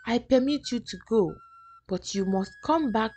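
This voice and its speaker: A woman's voice: on the high side, animated, a little slow, mellow. A woman storyteller voicing a stern young husband in a folktale, with a firm, commanding manner. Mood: stern, annoyed. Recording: music behind the voice.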